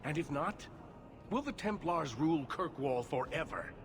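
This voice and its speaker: An actor playing an indignant, impassioned leader of mages, speaking formally and urgently in a declamatory fantasy game style. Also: male voice, a little high, expressive, normal speed, over music. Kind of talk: dramatic fantasy video game acting.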